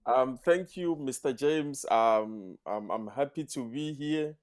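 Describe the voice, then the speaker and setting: A man, a math teacher talking as a podcast guest in an earnest, measured way, using full formal sentences. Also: medium pitch, animated. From Ghana. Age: 30s. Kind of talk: podcast interview.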